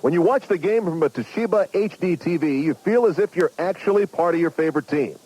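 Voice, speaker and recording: Male ad announcer, high voice, enthusiastic product pitch. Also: expressive, fairly quick, over music, rough recording. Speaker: commercial voice-over. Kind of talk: scripted ad read.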